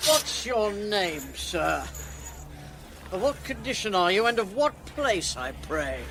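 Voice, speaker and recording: Pompous old knight in a radio play, high voice, swoops around, takes his time. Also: sing-song, background noise, heavily acted. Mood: mock grand. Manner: slow, pompous, drawn-out delivery. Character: mocking old knight, pompous comic rogue. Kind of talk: classical radio drama acting.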